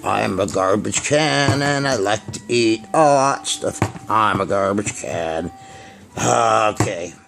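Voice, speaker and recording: A man voicing a greedy, gleeful garbage can in a children's puppet show, speaking slowly and repetitively. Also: fairly low pitch, gravelly, a bit bright, faint music behind, voice pushed down, heavily acted. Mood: friendly, preachy. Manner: slow, repetitive, savoring delivery.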